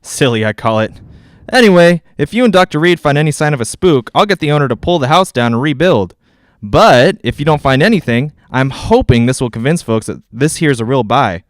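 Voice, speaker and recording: A man's voice: a little high, animated, brisk, no room sound, clean studio sound, close to an everyday voice. A man playing a plain-spoken, dismissive real estate agent in a 1940s-style radio drama, telling things in a folksy way. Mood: matter-of-fact.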